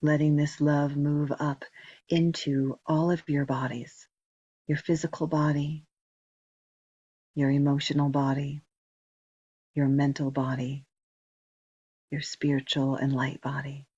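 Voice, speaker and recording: A woman leading a guided meditation, giving slow, gentle instructions with long pauses in a soft, breathy voice. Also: low-pitched, little pitch movement, no echo. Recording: dull, muffled lo-fi recording.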